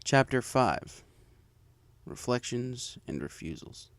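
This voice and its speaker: A man's voice: medium pitch, sing-song, slow pace. A man reading a story aloud as scripted narration. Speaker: story reader. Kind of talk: scripted narration of fan fiction.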